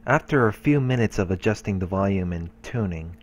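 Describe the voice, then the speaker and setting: A man hosting a let's play, reading a visual novel's narration aloud in a calm, measured way. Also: on the low side. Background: game music and voices.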